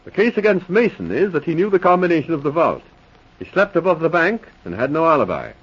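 An actor playing an earnest, puzzled police junior with a husky voice, speaking emphatically in 1940s radio drama style. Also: male voice, fairly high pitch, very animated, fast, fairly bright tone, full character voice. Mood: earnest, reporting. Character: earnest, puzzled police subordinate reciting case facts. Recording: muffled vintage radio recording.

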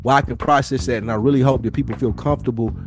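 A man's voice: medium pitch, fairly even intonation, rapid-fire, a little hiss or hum. A man hosting a podcast, talking casually and reflectively in an earnest, slangy, rambling way. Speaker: podcast host.

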